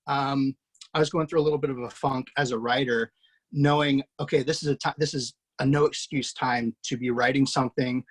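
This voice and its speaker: A man's voice: medium pitch, fairly even intonation, brisk, rough recording. A man hosting over a video call, casually introducing a cast with effusive praise, loose and full of fillers. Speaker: host introducing a table-read cast.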